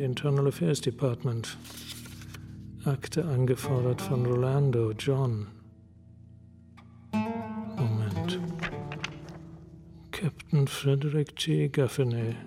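Terse detective, mid-pitched voice. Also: male voice. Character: terse, focused male homicide detective sergeant. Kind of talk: German radio crime drama.

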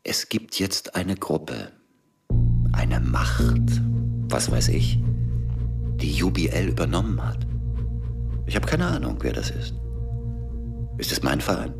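A man's voice: extremely low pitch, very animated, slow pace, gravelly, pauses often, over loud music, full character voice. An actor playing an agitated, suspicious man in a German radio drama, brooding in broken phrases and pressing rhetorical questions. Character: agitated, suspicious man piecing together a cover-up.

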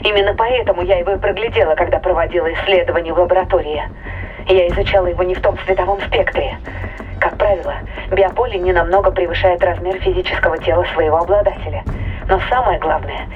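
A woman's voice: fairly low pitch, steady tone, fast talker, lo-fi sound, soft background music. A woman playing an earnest female scientist on a spaceship in a sci-fi audio drama, explaining things over a radio link. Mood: concerned, explanatory. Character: Marie, serious female scientist aboard a spaceship.

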